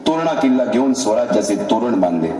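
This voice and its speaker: A man's voice: medium pitch, animated, quick pace, dark tone, close to an everyday voice. A man delivering dramatic scripted narration for a stage play. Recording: reverberant hall, distant mic, music.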